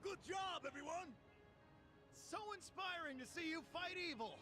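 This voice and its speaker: A man's voice: extremely high pitch, animated, normal speed, bright, forceful tone, soft background music, exaggerated voice. A man voicing a zealous protester cheering on his side in a video game. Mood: triumphant.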